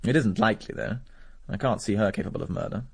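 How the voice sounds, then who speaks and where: A coolly calculating war veteran in a radio drama. He talks briskly in a husky voice, urbane and dryly cynical. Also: fairly low pitch. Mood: cool, wry. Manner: urbane, dry, measured logic.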